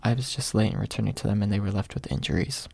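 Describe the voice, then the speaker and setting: An exasperated but good-natured young guy in a storybook reading, acted by a man. He retorts wryly and casually in a husky voice with some crackle. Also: lowish voice, quick pace, darkish tone, dry recording. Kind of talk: ASMR audiobook character reading.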